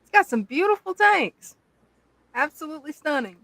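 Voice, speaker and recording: A woman's voice: high-pitched, very animated, normal speed, fairly bright tone. A woman co-hosting a live stream, chiming in with brief, upbeat remarks. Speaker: co-host. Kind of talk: casual live stream chat.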